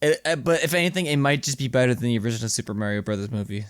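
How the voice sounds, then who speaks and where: A young man co-hosting a casual podcast, talking bluntly with swearing and repeated, halting starts. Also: medium pitch, steady tone, smooth, no room sound, clean studio sound. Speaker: podcast co-host. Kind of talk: casual chat.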